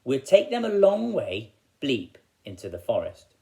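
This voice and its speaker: Comic fairy-tale mother, played by a man: cross, bossy, hard-hearted, animated. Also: medium pitch, unhurried, creaky, heavily acted. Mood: cold scheming.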